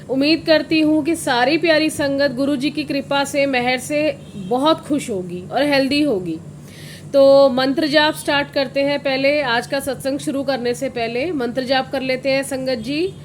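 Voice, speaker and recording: A woman with a high voice roughened by a cold shares a devotional story on her channel. She rambles, restarts and fills pauses as she goes. A faint hiss sits under the recording. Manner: rambling, with frequent restarts and fillers. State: hoarse with a cold. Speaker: satsang channel host.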